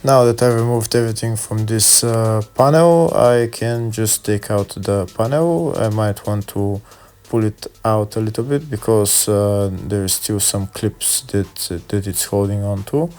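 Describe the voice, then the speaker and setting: A man's voice: fairly low pitch, measured pace, a little mellow, faint music behind. A man giving step-by-step how-to narration in a plain, hesitant instructional manner. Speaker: car repair video host.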